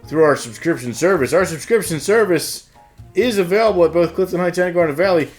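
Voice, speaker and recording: A man's voice: high voice, brisk, faint music behind. A man co-hosting a shop news show, mixing scripted announcements with casual banter. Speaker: co-host of a store news show, announcing events.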